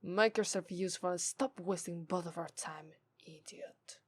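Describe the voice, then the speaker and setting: A woman's voice: fairly low pitch, slight background noise, close to an everyday voice. A woman with an Italian accent voicing a scornful demon femme fatale in an ASMR roleplay, condescending and drawing out her disdain. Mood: impatient, scornful. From Italy. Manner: condescending, drawn-out disdain, breathy asides.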